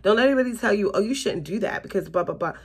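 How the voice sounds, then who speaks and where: A woman's voice: medium pitch, lively intonation, rapid-fire, husky. A woman in her late thirties with a Southern US accent, chatting casually to viewers while doing her makeup in a small bedroom. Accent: Southern US (Florida). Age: late 30s. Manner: chatty, rambling, laughs often, addresses viewers as you guys. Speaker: beauty vlogger. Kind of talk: casual chatty get-ready-with-me vlog. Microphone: camera in front at close range.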